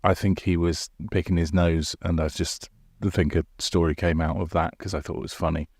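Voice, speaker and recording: Deep-voiced man presenting a podcast. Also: flat delivery, moderate pace, a little mellow, no room sound, clean studio sound. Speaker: podcast host and writer. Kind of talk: podcast presenting, credits and informal asides.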